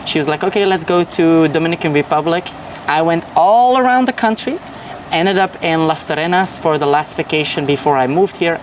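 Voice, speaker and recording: A man's voice: a little high, far from the mic, slight background noise, poor audio. Enthusiastic young man from Belgium. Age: young adult. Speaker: podcast guest. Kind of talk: casual interview chat. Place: at a hotel.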